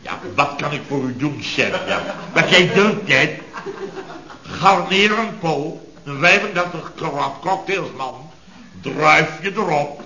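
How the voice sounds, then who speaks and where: Sarcastic, long-suffering male boss, 1970s radio comedy, grumbling rants, slightly high voice, dull echoey archive sound. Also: lively intonation, takes it slowly, pushed and bright, heavily acted. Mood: sarcastic ranting. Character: exasperated, sarcastic male boss of a contracting firm.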